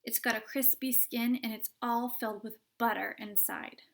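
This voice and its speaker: A woman voicing a spoiled, stubborn gum-chewing young girl in a storybook read-aloud, loud and demanding. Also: fairly high pitch, steady tone, normal speed, vocal fry. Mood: delighted.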